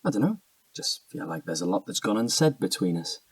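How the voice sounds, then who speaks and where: A man's voice: fairly low pitch, very animated, a little fast, husky, dry recording, far from the mic. An actor playing an evasive, defensive young British man in a naturalistic audio drama, hesitant and slangy. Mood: guarded, friendly.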